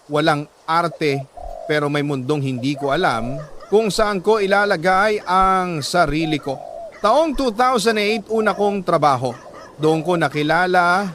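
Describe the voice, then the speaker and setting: A Filipino man reading a listener's letter as a dramatic narration, in slow, deliberate, emotive phrases with pauses. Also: on the high side, a bit bright, a little hiss or hum. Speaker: radio-style host reading listener letters.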